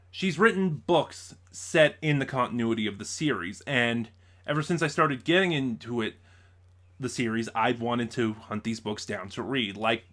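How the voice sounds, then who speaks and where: A man's voice: mid-range voice, pushed and bright. Rambling man chatting through an unboxing. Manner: rambling, self-correcting explainer. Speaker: vlogger reviewing audio drama purchases. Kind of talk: casual unboxing chat.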